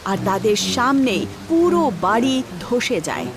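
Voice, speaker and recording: A woman's voice: fairly high pitch, sing-song, normal speed, crowd noise. A woman narrating a scripted cartoon story.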